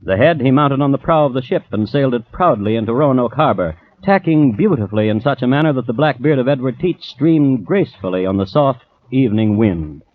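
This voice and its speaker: Actor as a dry, measured radio storyteller. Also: male voice, medium pitch, animated, lightly acted. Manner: measured, dryly ironic. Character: dry, storytelling male crime historian. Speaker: host and narrator. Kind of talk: 1950s radio scripted narration. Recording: dull old radio recording, faint noise.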